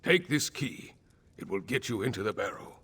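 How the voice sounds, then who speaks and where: An actor playing a proud, weary, aging northern jarl in a video game, blunt and sardonic, with a gritty voice. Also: male voice, fairly low pitch, big pitch swings, moderate pace, a bit bright, husky, in a large hall, full character voice. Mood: weary, resolute. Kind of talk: video game character acting.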